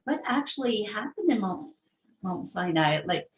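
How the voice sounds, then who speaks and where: A woman's voice: medium pitch, very animated, measured pace. A woman panelist chatting casually, recorded with roomy, echoey audio. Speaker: discussion panelist. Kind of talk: casual chat.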